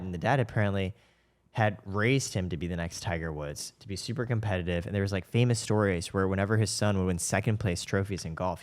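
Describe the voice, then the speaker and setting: Young American man, quick conversational delivery, slightly low pitch, casual podcast storyteller. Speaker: podcast participant. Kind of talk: casual chat and anecdotes.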